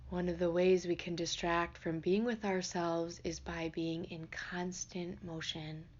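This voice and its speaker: A woman leading a guided meditation in a gentle, unhurried, soothing manner. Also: fairly low pitch, flat delivery, muffled recording. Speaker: meditation teacher.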